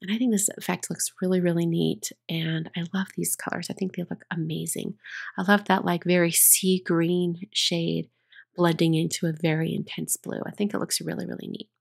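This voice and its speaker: A woman recording a relaxed, chatty voiceover for a card-making tutorial, her voice a little rough after being sick, with frequent ums and restarts. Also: fairly low pitch, normal speed. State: recovering from illness, voice a bit rough.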